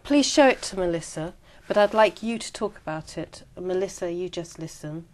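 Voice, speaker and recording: A woman examiner giving clear, measured, polite instructions in a speaking exam. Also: on the low side, expressive, darkish tone, rough recording. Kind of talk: scripted exam prompts.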